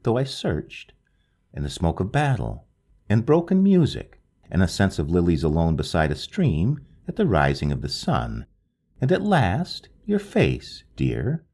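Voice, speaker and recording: A man reading a literary story aloud in a slow, solemn, dreamlike way. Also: vocal fry, dark tone. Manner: slow, solemn, dreamlike delivery with wide swings in pitch. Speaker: audiobook narrator.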